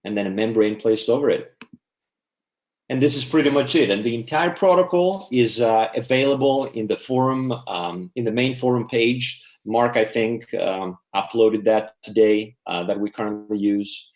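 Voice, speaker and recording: A man lecturing as a researcher at an online symposium, explaining slides in short phrases with pauses between them. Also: on the low side, a little mellow. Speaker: dental researcher giving a lecture.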